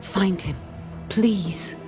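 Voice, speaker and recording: Slow-speaking, lovelorn ghost woman. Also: mid-range voice, music in the background. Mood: longing, sorrowful. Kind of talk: dramatic video game acting.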